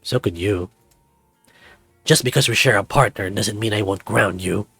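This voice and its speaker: A man voicing a stern but affectionate dragon father with a gruff, measured manner. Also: fairly low pitch, fairly bright tone, no echo, faint music behind, pitched below the performer's own voice, exaggerated voice. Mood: stern, teasing.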